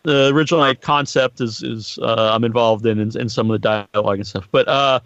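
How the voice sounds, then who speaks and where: A middle-aged man hosts a casual podcast with a rambling, halting delivery and a crude, joking streak. The sound is very dry and a bit muffled, like a lo-fi online stream. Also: mid-range voice, steady tone, a bit bright, dry recording. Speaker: podcast host.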